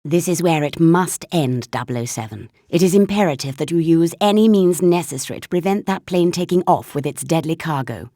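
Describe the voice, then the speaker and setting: A woman's voice: low-pitched, animated, a little fast, hardly any pauses, warm tone, dry recording, studio quality. A woman playing a stern, commanding spy chief giving mission orders in a video game. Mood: grave, commanding.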